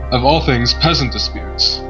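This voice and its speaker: A man's voice: medium pitch, normal speed, lightly acted. A man voicing a proud, bitter young nobleman from a poor family, read formally and solemnly from a visual novel. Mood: solemn, resolute. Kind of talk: dramatic reading of visual novel letter. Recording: dull audio, music clearly behind.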